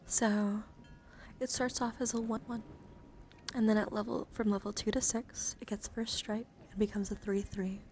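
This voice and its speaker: A woman hosting an ASMR livestream, slipping between whispering and soft speech in a gentle, chatty, encouraging way as she shows things to viewers. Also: mid-range voice, flat delivery, normal speed, slight background noise. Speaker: livestream host. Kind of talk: whispered ASMR, showing and reading out trading cards. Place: indoor livestream, hands-on camera.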